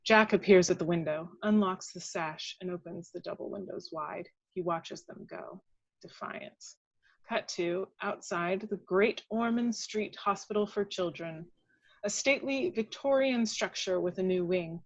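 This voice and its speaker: A woman's voice: fairly low pitch, measured pace, dry recording. Woman narrating a script, dry lo-fi sound. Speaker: script reader of action descriptions. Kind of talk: scripted narration at a table read.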